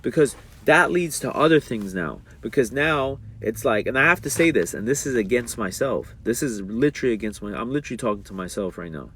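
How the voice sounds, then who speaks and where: A young man talking casually to his viewers about marriage and faith, reading a passage aloud and breaking into slangy, animated asides. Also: medium pitch, brisk, few pauses, slight background noise. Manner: animated, rises sharply when worked up. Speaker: streamer talking about religion and relationships. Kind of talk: casual monologue.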